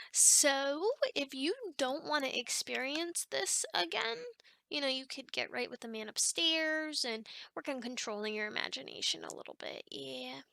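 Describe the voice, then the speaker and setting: High, creaky, chatty sleep demon played by a woman. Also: lively intonation, a little slow, sharp tone, no room sound, exaggerated voice. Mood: matter-of-fact, chatty. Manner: casual, hesitant, rambling. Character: chatty, teasing female sleep paralysis demon, formerly human. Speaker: ASMR roleplay performer. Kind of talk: ASMR roleplay, one-sided conversation.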